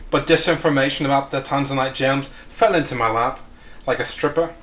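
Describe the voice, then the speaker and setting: A man reading the part of a blunt, determined criminal crew leader in a screenplay table read, with a measured delivery. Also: mid-range voice, fairly bright tone, natural voice. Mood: defiant.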